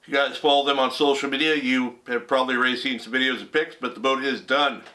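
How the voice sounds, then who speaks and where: Bright-voiced man hosting a fishing report. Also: mid-range voice, fast. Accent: Southern Californian. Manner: relaxed, rambling with many fillers. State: recovering from a cold. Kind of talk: casual weekly report.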